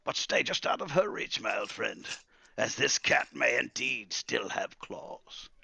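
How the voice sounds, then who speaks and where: A man voicing an old wizard, brooding and theatrical, with drawn-out lines in a tabletop fantasy game. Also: medium pitch, very animated, gravelly, pushed and bright, husky, no echo, pitched above the performer's own voice, exaggerated voice. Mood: scheming, gentle. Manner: theatrical, drawn-out, swinging between cries and hisses. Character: old bearded wizard, grieving, brooding, quietly menacing.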